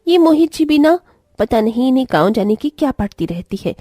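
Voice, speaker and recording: A woman's voice: high voice, sing-song, fairly quick, dark tone, no room sound, clean studio sound. A woman voicing a haughty, spoiled rich city daughter-in-law who complains scornfully in a family story. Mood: grumbling.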